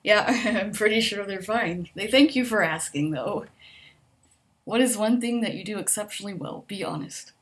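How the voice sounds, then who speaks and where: A woman with a quiet voice and a mild stutter, answering survey questions in a hesitant, casual chat. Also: medium pitch, moderate pace. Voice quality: soft-spoken with a mild stutter. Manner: hesitant, with frequent ums and likes, laughs softly.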